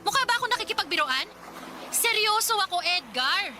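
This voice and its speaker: High-pitched, sharp-tongued Filipino career woman. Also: animated, fairly quick. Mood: exasperated, angry. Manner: sharp-tongued, exasperated, sarcastic. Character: irritable, sarcastic young career woman arguing with her partner. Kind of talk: Filipino radio drama acting. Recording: clear noise behind the voice.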